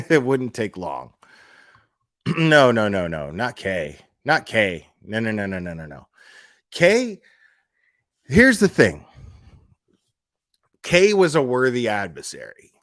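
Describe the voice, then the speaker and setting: A man's voice: lowish voice, wildly swooping pitch, slow, long pauses, fairly bright tone, no room sound, clean studio sound. A man speaking casually and off the cuff like a live streamer on a rant, blunt and profane, repeating phrases for emphasis. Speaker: livestream commentator.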